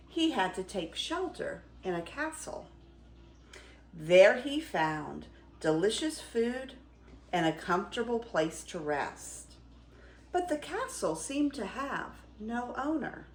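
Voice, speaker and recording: An older woman reading a fairy tale aloud as a grandmother would, with theatrical, expressive emphasis. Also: a little high, slow, echoey room. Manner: theatrical, dramatic storytelling with emphasis.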